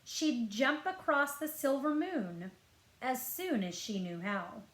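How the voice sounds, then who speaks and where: A woman reads a children's story aloud in a fairly high voice. She takes her time, phrasing each line deliberately and expressively, as a librarian does for young listeners. The room adds a noticeable echo. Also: fairly bright tone. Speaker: school librarian. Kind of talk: picture-book read-aloud to children. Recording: roomy echo.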